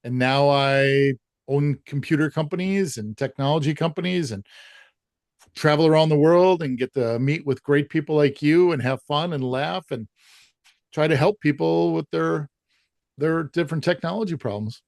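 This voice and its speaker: A man's voice: on the high side, normal speed, vocal fry, darkish tone, no room sound, studio quality. A man guesting on a podcast, talking in an upbeat, emphatic, motivational way. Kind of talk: casual interview.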